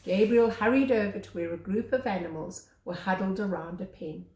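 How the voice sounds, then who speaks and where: A woman reading a children's story aloud as a teacher, with expressive narration. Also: mid-range voice, normal speed. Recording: echoey room.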